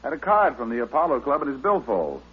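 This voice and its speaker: An actor playing a wisecracking, easygoing private detective in a vintage radio drama, with a glib, casual manner. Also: male voice, mid-range voice, very animated, quick pace, breathy edge. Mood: casual, friendly. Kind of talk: 1940s-style radio detective acting. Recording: narrow, noisy old radio recording.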